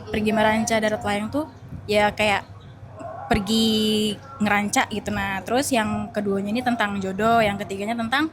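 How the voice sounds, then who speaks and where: A woman's voice: medium pitch, flat delivery, normal speed, over music. A young woman student performer from Jambi with a Jambi Malay accent, answering hesitantly in a casual interview. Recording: crowd noise behind. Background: crowd.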